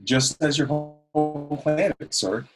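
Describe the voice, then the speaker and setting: A man's voice: fairly high pitch, takes it slowly, music in the background. A man playing a humble, earnest young alien escort in a formal, deferential way, in an amateur sci-fi table read. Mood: proudly earnest.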